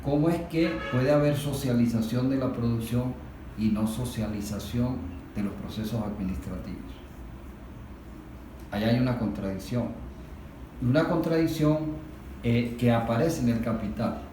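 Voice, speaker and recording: A Venezuelan man in a political discussion, explaining things didactically and deliberately, with emphatic points and rhetorical questions. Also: medium pitch, warm tone. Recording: very echoey room, distant mic, faint noise.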